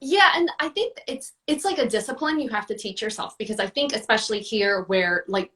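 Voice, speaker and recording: A woman's voice: a little high, fairly quick, fairly bright tone, far from the mic. A woman answering interview questions in a casual, chatty way, with many fillers and restarts. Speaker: guest, book reviewer.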